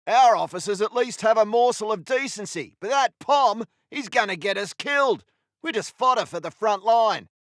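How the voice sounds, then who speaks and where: A man's voice: sits high, expressive, normal speed, fairly bright tone, no echo. A man playing a seasoned, grumbling artillery gunner in a war game, matter-of-fact in manner. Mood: bitter. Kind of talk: video game unit voice lines.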